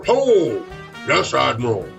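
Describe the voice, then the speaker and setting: A man's voice: medium pitch, huge pitch swings, measured pace, vocal fry, fairly bright tone, dull audio, full character voice. A man playing an impatient, authoritative wartime President in an audio drama. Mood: stern, impatient. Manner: authoritative, curt. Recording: music behind the voice.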